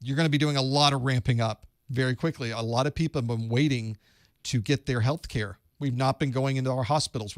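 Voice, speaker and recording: A man's voice: medium pitch, no room sound, clean studio sound. A man hosting a live call-in study group, giving advice in a conversational way and thinking aloud. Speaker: host of a certification study group.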